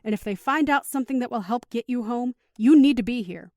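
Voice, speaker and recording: Woman playing firm protective mom, patient but bossy, fairly high voice, dry close sound. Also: brisk, a little mellow, dry recording, close to an everyday voice. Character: firm, protective, teasing mother of two. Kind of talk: audio drama acting.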